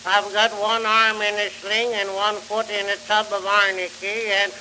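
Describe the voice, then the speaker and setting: Crackly, high-pitched old country yarn-spinner. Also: male voice, level tone, moderate pace, bright, forceful tone. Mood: folksy, jovial. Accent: rural American. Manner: homespun, chuckles between anecdotes. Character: folksy old country storyteller, cheerful rural yarn-spinner. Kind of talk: early 1900s comic monologue recording. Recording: hissy, crackling early phonograph recording.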